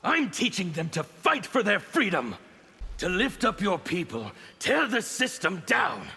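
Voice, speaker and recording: An actor playing a fanatical, defiant anarchist villain in a fighting game, with a strained, theatrical delivery. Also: male voice, high-pitched, sing-song, unhurried, pushed and bright, full character voice. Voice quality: gravelly, strained. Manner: theatrical, declamatory. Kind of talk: fighting game character dialogue. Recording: long reverb, faint background noise.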